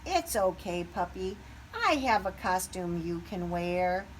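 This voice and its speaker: A woman voicing a kind-hearted young storybook bear in a gentle, eager, childlike manner. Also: lowish voice, sing-song, takes it slowly, a little hiss or hum, rough recording. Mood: reassuring.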